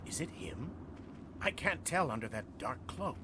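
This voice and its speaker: An actor playing an alert, dutiful Imperial officer, a man with brisk military delivery in a space opera audio drama. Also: big pitch swings, background noise. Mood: tense, watchful. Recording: long booming reverb.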